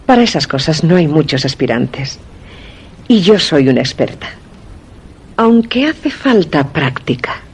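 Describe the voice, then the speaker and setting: Secretive wife with swooping intonation. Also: female voice, deep voice, big pitch swings, measured pace, breathy edge, exaggerated voice. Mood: cool, evasive. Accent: Castilian Spanish. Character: secretive, hard, curt wife hiding sinister work. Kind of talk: Spanish radio suspense drama acting.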